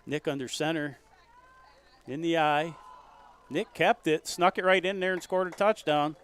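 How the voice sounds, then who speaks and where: A man calling a local high school football game play by play, folksy and rising to loud excitement on big plays. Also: measured pace, clean tone. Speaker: play-by-play commentator.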